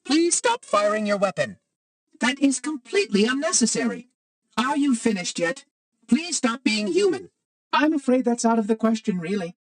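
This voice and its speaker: A man's voice: very high-pitched, sing-song, normal speed, distant mic. A man playing a prim, fussy, ancient robot monitor in a video game, speaking in a formal, precise, oddly cheery way with light robotic processing. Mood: mildly annoyed. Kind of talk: video game character acting.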